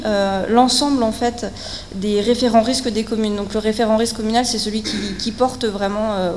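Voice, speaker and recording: A woman speaks at a technical conference in a reverberant hall with noticeable background noise. Her voice sits at a medium pitch. She explains things in a halting, semi-spontaneous way, often filling pauses and repeating words as she goes. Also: darkish tone. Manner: hesitant, halting delivery with frequent fillers and repeated words. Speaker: researcher and consultant speaking at a conference.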